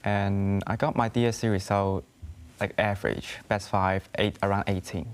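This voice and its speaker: Low-voiced teenage man, halting English. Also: level tone, smooth. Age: late teens. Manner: hesitant, simple halting English. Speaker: interview guest, school graduate. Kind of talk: studio interview answers. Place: TV studio.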